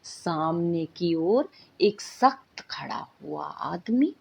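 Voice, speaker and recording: A woman storyteller narrating a bedtime tale in an expressive, drawn-out, dramatic way. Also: lowish voice, creaky, husky.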